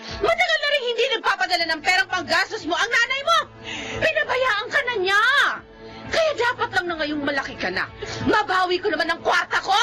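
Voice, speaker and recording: A woman's voice: very high-pitched, sing-song, brisk, music in the background. A woman playing a cruel, abusive older aunt in a Filipino radio drama, scolding, threatening and taunting. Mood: scornful.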